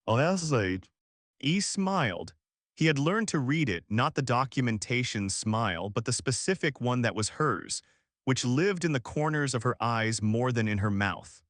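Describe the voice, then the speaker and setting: A man narrating a science fiction story in a measured, even storytelling manner. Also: medium pitch, no echo, studio quality. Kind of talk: scripted audiobook narration.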